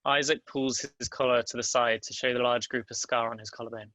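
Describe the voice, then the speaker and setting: A man narrates a script's stage directions in a bright, forward voice. His pitch is average and somewhat even, and the sound is dry, with little room around it. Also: a little fast, no room sound, muffled recording. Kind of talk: scripted narration at a table read.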